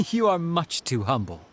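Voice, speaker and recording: Affable, animated town officer. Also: male voice, a little high, a little hiss or hum, heavily acted. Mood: amiable, reassuring. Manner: affable, courteous, expressive. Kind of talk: video game voice acting.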